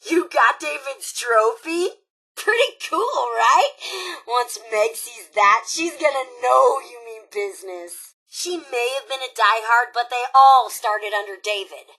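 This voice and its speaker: A woman's voice: a little high, expressive, slow, sharp tone, full character voice, rough recording. A woman voicing a sassy, mischievous raider robot in a video game, flighty and cocky, with playful, teasing, slangy delivery and dramatic emphasis. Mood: knowing, smug. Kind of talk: video game character dialogue.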